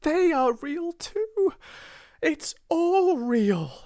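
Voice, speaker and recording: A woman's voice: sits high, very animated, very slow, dark tone, dry recording, clean studio sound, pitched above the performer's own voice, exaggerated voice. A woman voicing a young storybook girl, breathless and overjoyed with wonder, in panting, half-whispered exclamations. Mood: tearful joy. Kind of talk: storybook character voice in audiobook reading.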